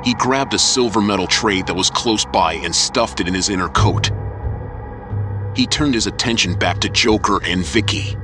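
A man's voice: lowish voice, moderate pace. A man reading scripted narration as the narrator of an audio drama. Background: music.

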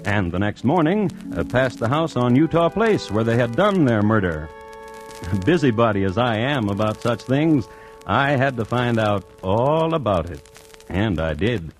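Droll, animated radio crime host. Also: male voice, mid-range voice, faint music behind. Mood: droll, storytelling. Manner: droll, deliberate. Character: urbane, wry male true-crime connoisseur host. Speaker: host and narrator. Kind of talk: 1950s radio crime narration.

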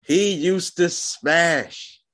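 A man in his thirties, guest on his brother's podcast, telling a story in relaxed casual chat. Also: a little high, extremely slow. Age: 30s. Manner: laid-back storyteller, lively delivery. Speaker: guest, host's big brother.

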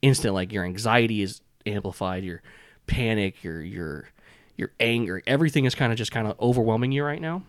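A man's voice: on the low side, brisk, no echo, clean studio sound. A man acting as game master, narrating a tabletop game in an informal, improvised way with frequent chuckles. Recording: music playing behind the voice. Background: music.

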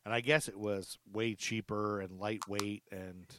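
A man hosting a podcast in casual, chatty, loosely phrased talk, quick to laugh. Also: on the low side, fairly even intonation, a bit bright, no echo. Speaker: podcast host.

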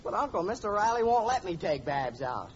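An actor playing a polite, earnest, harmless young boyfriend in an old radio family comedy. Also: male voice, sits high, big pitch swings, a little fast. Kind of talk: 1940s radio comedy acting. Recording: very noisy, muffled old radio recording.

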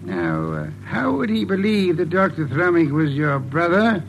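An actor playing a shrewd, self-assured detective in 1940s radio style, speaking in a pompous, precise, deliberate way. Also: male voice, a little high, big pitch swings, slight background noise, rough recording. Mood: smug, amused. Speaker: lead detective. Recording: vintage radio drama recording.

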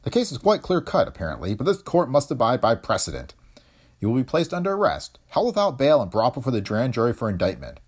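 A man's voice: mid-range voice, wildly swooping pitch, a little mellow, slightly rough, pitched above the performer's own voice. A man doing a stern, formal older judge. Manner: formal, measured.

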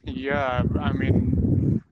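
A man's voice: mid-range voice, completely flat delivery, very slow. A man from Pakistan with a Pakistani accent, a student speaking hesitantly with frequent restarts on a video call. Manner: hesitant, repeats and restarts a lot. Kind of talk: casual class chat. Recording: muffled call with loud noise.

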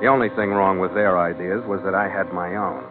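An actor playing a tough, cynical, wisecracking sea captain with blunt, slangy delivery in an old-time radio drama. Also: male voice, deep voice, fairly even intonation, fairly quick, natural voice. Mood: wry narration. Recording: tinny old radio broadcast with music behind.

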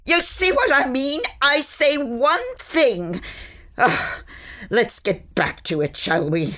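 A woman's voice: sits high, big pitch swings, takes it slowly, a bit bright, exaggerated voice. A woman playing a curt, secretive and exasperated forest dweller in a fantasy audio comedy, commanding and impatient.

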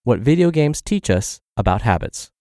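A man's voice: mid-range voice, very animated, moderate pace, mellow, no room sound, studio quality. A man hosting a podcast, reading health and fitness blog posts aloud while congested and scratchy from the flu. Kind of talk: read-aloud narration.

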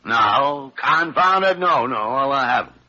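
Crusty older male physician, sharp-tongued and grumbling, forceful husky voice, vintage radio drama. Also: mid-range voice, expressive, a little slow, heavily acted. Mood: irritable. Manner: gruff, sarcastic, grumbling. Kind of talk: 1940s radio drama acting. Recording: dull old radio recording.

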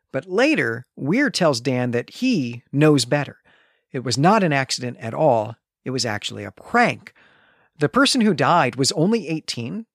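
Measured male podcast host, dry close sound. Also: mid-range voice, dry recording. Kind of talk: literary podcast discussion, retelling and analysing a story.

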